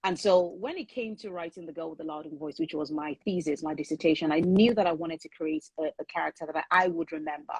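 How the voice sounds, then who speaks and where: A woman whose first language is Yoruba talks through her writing in an earnest, thoughtful way, pausing to restart her sentences. Her voice sits a little low and has a husky, breathy grain. The sound is close and dry. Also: darkish tone, no echo, low-quality recording. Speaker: author and guest. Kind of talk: conversational interview.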